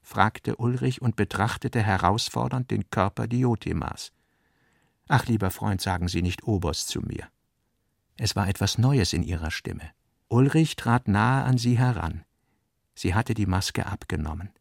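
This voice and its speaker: A male narrator reads scripted literary prose for a radio drama. His voice is on the low side with a gravelly creak, recorded very dry. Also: a little slow, dry recording.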